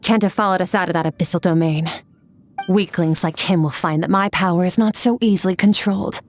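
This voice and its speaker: An actress plays a cool, otherworldly swordswoman from a video game. Her voice is on the low side, and she speaks in a detached, condescending, matter-of-fact way over faint music. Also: a little fast, lightly acted. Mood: detached, grave. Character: aloof, battle-hardened otherworldly swordswoman, cool and blunt.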